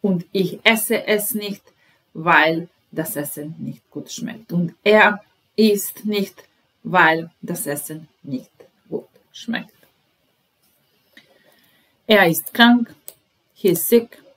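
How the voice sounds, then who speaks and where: A woman in her thirties gives a slow, patient language lesson from a small room, speaking into a webcam at her desk. Her voice sits a little low, and she repeats each phrase word by word with unhurried pauses. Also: a bit bright, slightly rough. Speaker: German teacher.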